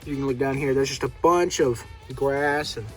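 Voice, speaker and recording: A man's voice: medium pitch, moderate pace, faint music behind. An American man hosting a vlog, talking in a casual, folksy, hands-on way as he narrates what he is doing. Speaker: vlog host. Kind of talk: casual car-project vlog chat.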